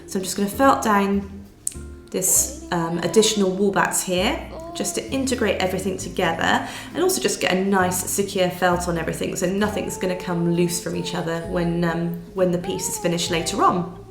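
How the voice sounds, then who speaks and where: A woman, nasal and croaky from a cold, patiently talking through a needle felting tutorial step by step. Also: fairly low pitch, steady tone, moderate pace. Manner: patient step-by-step explaining with fillers. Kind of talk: craft tutorial voice-over. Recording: roomy sound with soft music behind.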